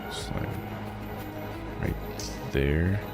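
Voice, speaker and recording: A man doing casual let's play commentary, thinking aloud and reading game text out. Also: very slow. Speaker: video game streamer. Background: game music.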